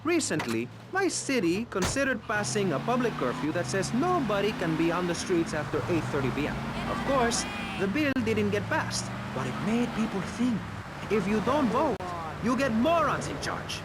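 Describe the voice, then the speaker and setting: An actor playing a smug, excitable public radio talk show host, with a pompous, theatrical delivery. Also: male voice, high-pitched, moderate pace. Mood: self-important. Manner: pompous, self-important, theatrical. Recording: loud traffic and engine noise behind. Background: vehicle and street noise from gameplay.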